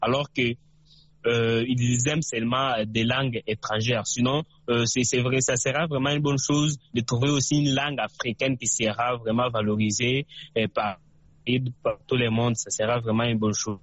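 A man from the DR Congo, a Tshiluba speaker, calling in to a radio show and giving his view slowly, with many hesitations and repeats. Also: mid-range voice, fairly even intonation. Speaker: caller. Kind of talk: radio call-in opinion. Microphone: phone line. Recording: dull dry phone call on radio.

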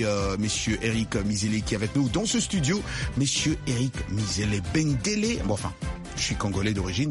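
Exuberant male radio host with music. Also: medium pitch. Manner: lively, effusive welcomes. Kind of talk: live radio interview. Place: radio studio. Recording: radio broadcast with music bed.

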